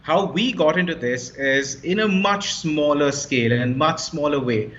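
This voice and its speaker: A man's voice: a little high, measured pace, a bit bright. A man explaining his startup as an interview guest, fluent and confident, stressing key points. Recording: slightly dull lo-fi sound.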